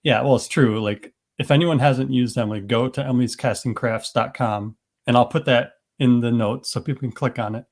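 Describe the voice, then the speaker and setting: A man's voice: medium pitch, fairly even intonation, normal speed. A man hosting a podcast interview, chatting in a friendly, rambling way with restarts. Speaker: podcast host. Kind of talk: casual interview chat.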